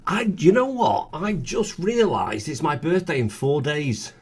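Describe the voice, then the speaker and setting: A man in his fifties, co-hosting a casual chat show and talking in an animated, chatty way. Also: fairly high pitch, measured pace, slightly rough. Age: 50s. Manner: animated, chatty, restarts sentences. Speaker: co-host.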